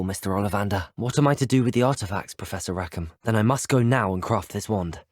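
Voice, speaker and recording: Casting: earnest young student wizard for a fantasy game. Husky, animated intonation, formal and well-articulated. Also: male voice, medium pitch, dry recording, clean studio sound. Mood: polite, keen. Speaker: video game player character voice actor. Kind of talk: scripted video game dialogue.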